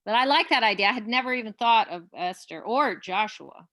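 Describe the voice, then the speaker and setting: A woman playwright talks over a video call with a bright, clear edge. The sound is dry and close. She is eager and encouraging, and often trips over and restarts her sentences. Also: on the high side, animated, fairly quick, no room sound. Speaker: playwright leading a post-show discussion. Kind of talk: casual online talkback.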